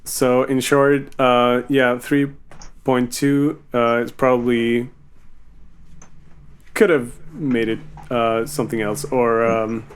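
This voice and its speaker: A man hosting a casual group Q&A, speaking in a halting, measured way. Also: mid-range voice, little pitch movement, clear, resonant tone. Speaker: Q&A host reading fan questions, music video maker. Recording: noisy, sub-par online group chat audio.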